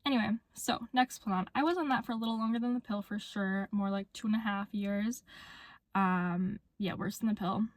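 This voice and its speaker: A woman's voice: mid-range voice, normal speed, no echo. A young woman vlogging to camera in a casual, chatty way, full of "like" and "um," her voice a little congested from a cold. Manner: chatty, filler-heavy with frequent "like" and "um". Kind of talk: casual talking-to-camera vlog.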